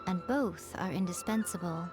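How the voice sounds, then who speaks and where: A woman's voice: fairly low pitch, normal speed, music in the background, close to an everyday voice. A woman voicing an elegant, wise and teasing shrine keeper in a video game, composed and knowing. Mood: gently probing.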